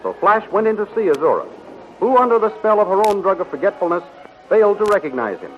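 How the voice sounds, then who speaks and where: A man's voice: sits high, very animated, fast. A man narrating a 1930s radio serial as announcer, declamatory and dramatic. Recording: dull 1930s radio recording, faint music.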